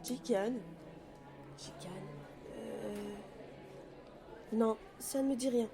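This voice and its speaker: A woman's voice: on the high side, fairly even intonation, very slow, creaky, a crowd in the background, noisy background, heavily acted. A woman playing a polite schoolgirl. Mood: puzzled. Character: helpful teenage schoolgirl, classmate. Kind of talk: fan audio drama acting. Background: music.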